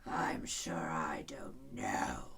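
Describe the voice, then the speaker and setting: Woman putting on a drowsy, breathy storybook lion. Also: rumbling low, sing-song, very slow, fairly bright tone, a little hiss or hum, voice pushed down, heavily acted. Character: sleepy, gruff male lion, weary and lazy.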